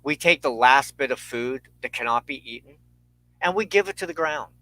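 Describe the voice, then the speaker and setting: A man hosting a spiritual talk in a solemn, sermon-like style, speaking in measured, formal and ceremonious phrases. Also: medium pitch, expressive, bright, forceful tone, no echo, low-quality recording. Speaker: host of a weekly spiritual talk show.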